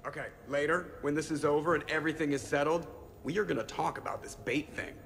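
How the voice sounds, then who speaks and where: An actor playing a wisecracking, sarcastic starship pilot with a creaky, sharp voice in a video game. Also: male voice, on the high side, fast talker, a little hiss or hum.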